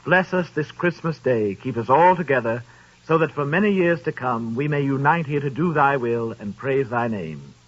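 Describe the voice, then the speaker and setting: A man playing a gentle, humble clerk and father in a 1930s radio drama, soft-spoken and reverent. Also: medium pitch, lively intonation, moderate pace, lightly acted. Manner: gentle and soothing, reverent in prayer. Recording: dull old radio broadcast.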